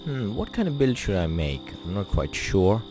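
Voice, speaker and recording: A man's voice: on the low side, big pitch swings, normal speed. A man from Pakistan whose first language is Urdu, living in England, chatting casually with many fillers while playing a game on a poor home mic. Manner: rambling, self-deprecating, many fillers. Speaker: gaming channel host. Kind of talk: casual let's play commentary. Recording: loud game music behind.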